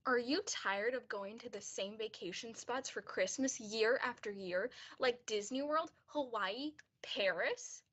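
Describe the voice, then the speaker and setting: A young woman plays a peppy saleswoman in a spoof radio ad. Her voice sits a little high as she pitches to listeners. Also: a little slow, hardly any pauses, fairly bright tone. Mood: upbeat selling. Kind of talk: mock radio commercial.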